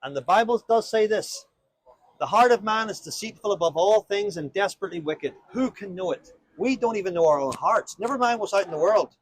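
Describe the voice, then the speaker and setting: High-voiced husky street evangelist man. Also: expressive, fairly quick, no echo, rough recording. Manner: earnest, explanatory, addresses listener with questions. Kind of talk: informal street preaching and conversation. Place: outdoors on a town street.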